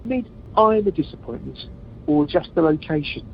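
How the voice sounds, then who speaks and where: A man's voice: on the high side, lively intonation, moderate pace, vocal fry. A man playing a jokey, needling newlywed husband in an amateur comedy radio play. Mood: hurt, sarcastic. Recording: muffled conference call, faint noise.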